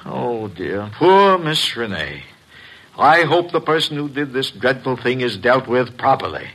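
Fussy, nervous, animated businessman. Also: medium pitch, a little slow, exaggerated voice, low-quality recording. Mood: solicitous. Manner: hesitant, flustered, overpolite. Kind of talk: old-time radio mystery acting.

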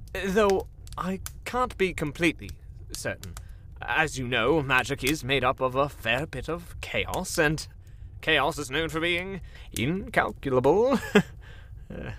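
Flustered, stammering enchanter minion, swooping pitch. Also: male voice, expressive, unhurried, sharp tone, slight background noise. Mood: hesitant deference. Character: nervous, bumbling, obsequious evil enchanter minion. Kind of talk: fantasy audio drama acting.

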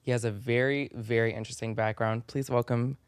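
A man's voice: mid-range voice, fairly even intonation, fairly quick, clear, resonant tone, dry recording, studio quality. A man hosting a casual, chatty interview in an effusive, complimentary manner. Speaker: podcast host interviewing a guest.

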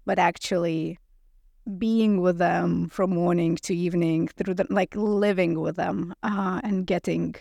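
A middle-aged woman from Lithuania talks with a gravelly edge to her voice. She explains her work earnestly as an interview guest. The recording is dry and close. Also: fairly low pitch, steady tone, measured pace, darkish tone, no echo. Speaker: guest, anthropology professor. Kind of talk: interview answers.

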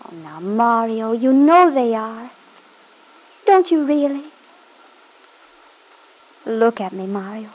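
Lilting, high-voiced young Italian wife. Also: female voice, animated, slow, long pauses, a little mellow, low-quality recording. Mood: earnest, pleading. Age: young adult. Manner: tender, pleading, coaxing. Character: young Italian wife, loving, patient, gently coaxing. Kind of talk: classic radio drama acting.

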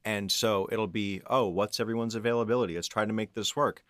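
A man's voice: fairly low pitch, fairly even intonation, fairly quick, fairly bright tone, no room sound, clean studio sound. A man chatting casually as a podcast host, thinking aloud in a conversational way. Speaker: podcast host and audio drama writer. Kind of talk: casual roundtable discussion.

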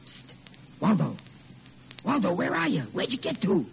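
An actor playing a bumbling, henpecked middle-aged working-class husband in 1940s radio comedy style, stammering and exasperated. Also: male voice, high voice, huge pitch swings, moderate pace, vocal fry, heavily acted. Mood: furtive, flustered. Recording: muffled, noisy old radio recording.

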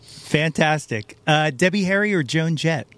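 A man's voice: fairly high pitch, expressive, unhurried, fairly bright tone, no echo. A man hosting a podcast in a casual, conversational interview style. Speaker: podcast host.

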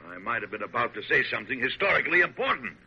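A man's voice: mid-range voice, very animated, racing, gravelly, sharp tone, heavily acted. An actor playing a proud, fussy middle-aged president, playfully pompous with his wife, in a 1940s American radio drama. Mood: mock indignant. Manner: pompous, declamatory. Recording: muffled, noisy old radio recording.

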